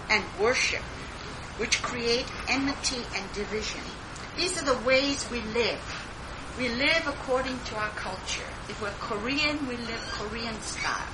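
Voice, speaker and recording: A woman giving an earnest sharing at a church meeting, addressing her listeners as saints. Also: on the high side. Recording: noisy recording.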